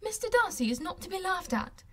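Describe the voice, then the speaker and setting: A female narrator acts out a witty young gentlewoman in an audiobook. She is playful and sure of herself. Her delivery is lively, articulate and teasing. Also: very high voice, fairly quick, voice pushed up, exaggerated voice. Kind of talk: audiobook character voice.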